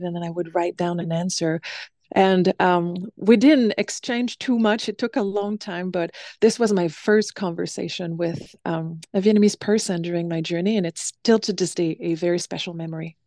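Mellow woman, dry sound. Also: mid-range voice, no room sound. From Quebec City, Canada. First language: French. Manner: thoughtful, frequent ums, light laughs. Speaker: guest, stage performer. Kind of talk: conversational interview answers.

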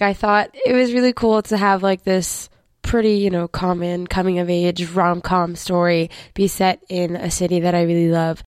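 Hoarse young woman hosting a radio show. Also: fairly low pitch, a little mellow, no echo, studio quality. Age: young adult. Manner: chatty, rambling with fillers. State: hoarse, lost voice. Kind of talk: casual music show hosting.

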